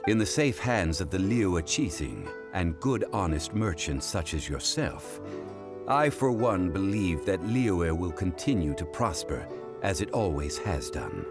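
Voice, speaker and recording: A man playing a composed, dignified gentleman in a video game, with formal and measured speech. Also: low voice, very animated, music in the background, heavily acted.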